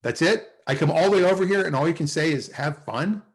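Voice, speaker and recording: A man's voice: on the high side, lively intonation, quick pace. A man playing a lovesick, wisecracking, anxiously hopeful sitcom character in a table read over a video call. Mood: pleading.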